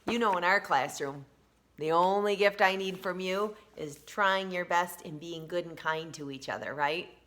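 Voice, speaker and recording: Bright-voiced woman reading aloud to children. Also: on the low side, normal speed. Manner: simple, encouraging talk addressed to young children. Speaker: elementary school teacher. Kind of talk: children's read-aloud with explanatory asides.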